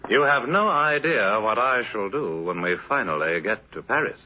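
A man's voice: fairly low pitch, lively intonation, normal speed, a little hiss or hum, lightly acted. An actor playing a prim, indignant student newspaperman with a formal, prissy manner in a 1950s radio drama. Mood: prim indignation. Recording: dull 1950s radio recording, music behind.

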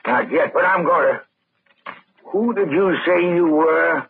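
Very husky, suspicious radio character. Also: male voice, on the high side, unhurried, heavily acted. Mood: agitated, regretful. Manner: blunt, gruff questioning. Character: suspicious, agitated man worried for his blackmailed friend. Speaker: radio actor in supporting part. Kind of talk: old-time radio drama acting. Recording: tinny old radio recording.

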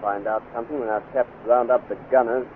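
An actor playing a stern, thoughtful military colonel in a 1950s radio serial. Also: male voice, medium pitch, fairly even intonation, fast, narrow, tinny audio, natural voice. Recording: thin, faintly noisy old radio recording.

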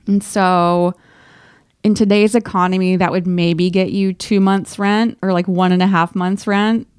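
A woman co-hosting a podcast in casual chat, retelling things in a wry, animated, slangy way. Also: on the low side, measured pace, clean tone, no room sound, studio quality. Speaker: podcast co-host. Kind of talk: casual book-discussion chat.

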